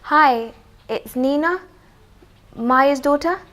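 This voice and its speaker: A woman's voice: sits high, takes it slowly, a bit bright, slight background noise. A woman playing a worried, earnest adult daughter in a training film, with a polite, tentative way of speaking. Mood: tentative, upbeat. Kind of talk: acted training film scene.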